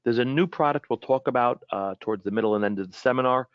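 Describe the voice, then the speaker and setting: A man giving an informal webinar product presentation in a halting way, often repeating and rephrasing his words. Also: mid-range voice, smooth, no room sound, lo-fi sound.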